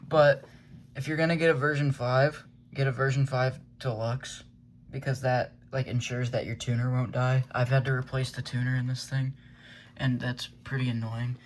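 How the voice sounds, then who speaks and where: A man talks through gear recommendations in an offhand, unscripted way. His voice sits at a medium pitch and he feels his way loosely through each point. Some background noise and ambience sit behind him. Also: flat delivery, normal speed. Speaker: weather radio hobbyist reviewing gear. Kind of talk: casual unscripted product review.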